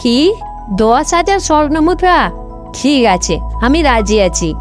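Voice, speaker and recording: A woman's voice: sits high, very animated, slow pace, faint music behind, voice pushed up, full character voice. A woman voicing an anxious village mother in a cartoon folk tale, exclaiming and repeating her words. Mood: greedy surprise. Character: woodcutter's wife, anxious, easily tempted village mother.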